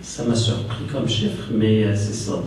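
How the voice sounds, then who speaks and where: A man's voice: fairly low pitch, unhurried, darkish tone, a little hiss or hum. A man born in Morocco, giving a hesitant conference talk full of fillers and restarts. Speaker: panel speaker. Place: large conference hall. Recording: echoey hall, distant mic.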